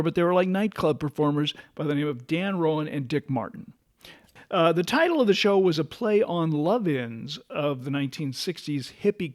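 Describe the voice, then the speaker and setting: A middle-aged or older American man co-hosting a casual, nostalgic talk show with a bantering, conversational manner. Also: fairly high pitch. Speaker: podcast co-host.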